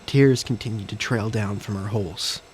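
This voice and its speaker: A man giving scripted first-person dramatic narration in deliberate, short phrases. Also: lowish voice, darkish tone. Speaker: narrator and character of an audio story. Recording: faint background noise and ambience.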